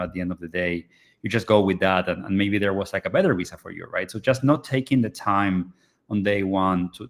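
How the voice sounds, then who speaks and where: A low-pitched man from Argentina talks through visa advice in a live online session. He halts often, restarts his sentences and leans on fillers as he explains. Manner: hesitant, many fillers and restarts. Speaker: immigration startup founder.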